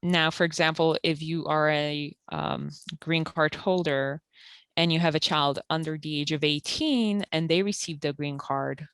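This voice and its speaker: A woman who works at a community health center, calmly explaining eligibility rules and documents step by step with frequent fillers. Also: low voice, a little slow, clean tone, no room sound. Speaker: health center benefits counselor. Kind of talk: informational interview.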